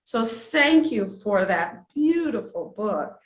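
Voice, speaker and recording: A woman in an echoey room hosts a children's storytime. She talks at a slow pace in a medium pitch, with an encouraging, effusive manner. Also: very animated. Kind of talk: host interview and sign-off to children.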